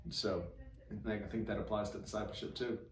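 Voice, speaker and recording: A man's voice: fairly low pitch, level tone, brisk. A man hosting a casual church Bible discussion, informal and folksy, rephrasing as he goes. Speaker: host of a church read-along show. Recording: echoey room, distant mic, faint noise.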